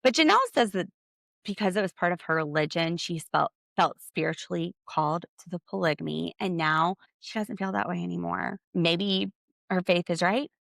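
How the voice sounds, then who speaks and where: Girl recapping reality tv on her podcast, super gravelly fry, dry close sound, snarky and chatty. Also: moderate pace, fairly bright tone, no echo. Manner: chatty and sarcastic, acting out quotes in a high voice. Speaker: podcast host. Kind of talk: casual recap chat.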